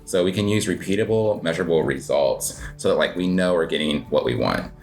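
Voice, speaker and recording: A man talks in an easy, rambling way, full of little fillers and restarts. It sounds like a relaxed interview chat. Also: low voice, brisk, faint music behind. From United States. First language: English. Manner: relaxed, rambling, heavy filler words, self-deprecating laughs. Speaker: guest, cafe owner and coffee competitor.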